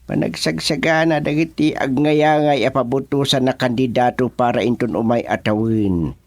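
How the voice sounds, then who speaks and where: A man's voice: mid-range voice, moderate pace, creaky, darkish tone, no echo, natural voice. Casting: male actor for older Ilocano village husband, talkative, radio comedy drama, dry studio sound. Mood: explaining.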